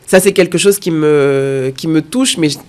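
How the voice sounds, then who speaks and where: A woman's voice: low voice, moderate pace, darkish tone. A woman in her thirties from France, talking about her life as an interview guest, with many fillers and repeated phrases. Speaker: guest, content creator. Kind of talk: personal interview conversation.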